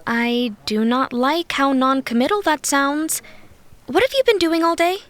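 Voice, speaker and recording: A woman's voice: high voice, measured pace. An actress playing an exasperated, skeptical woman office colleague in an audio drama.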